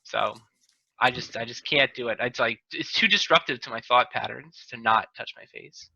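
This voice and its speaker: A man's voice: mid-range voice, moderate pace, gravelly, bright, forceful tone, no echo. A man hosting a casual group chat over a video call, often repeating and correcting himself as he goes. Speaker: podcast host. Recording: dull, lo-fi video call audio.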